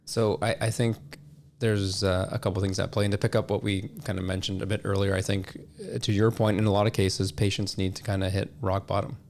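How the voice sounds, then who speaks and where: A man talks with noticeable vocal fry and a fairly flat intonation. His delivery is measured and explanatory, like a guest on a podcast. A slight noise sits in the background. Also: low-pitched. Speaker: podcast guest, supplement industry representative. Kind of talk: conversational explanation.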